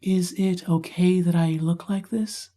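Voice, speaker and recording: A man plays a tender young storybook heroine in a raised, mellow voice. She speaks earnestly and vulnerably, with shy curiosity. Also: high voice, flat delivery, unhurried, pitched above the performer's own voice. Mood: tender, vulnerable.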